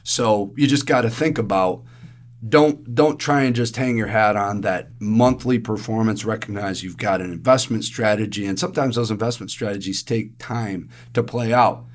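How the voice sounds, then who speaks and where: A man with a raspy, allergy-hoarse voice, chatting through monthly market results on a podcast with everyday analogies. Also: on the low side, normal speed. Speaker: investment advisor co-host. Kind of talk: casual podcast market commentary.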